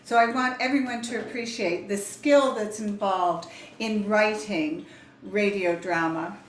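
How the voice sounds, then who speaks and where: An older British woman hosts an event from across an echoey room. Her voice is medium in pitch, picked up at a distance with noise behind it. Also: steady tone, a little slow. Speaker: event host. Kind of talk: live introduction. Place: indoors in a formal parlour. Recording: echoey room, distant mic, background hiss.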